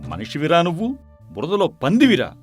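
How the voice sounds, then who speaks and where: A man's voice: a little high, huge pitch swings, normal speed, husky, heavily acted. A man playing an indignant village man in a moral story, blunt and reproachful, with emphatic questions and a streak of pity. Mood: scornful, angry. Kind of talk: dramatized moral story acting. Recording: music behind the voice.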